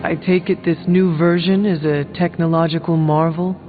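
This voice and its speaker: A man playing a composed, formal warrior hero from centuries past in a video game, measured and understated. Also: fairly high pitch, flat delivery, phone-quality sound, faint music behind, natural voice. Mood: calm, reserved. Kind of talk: video game dialogue acting.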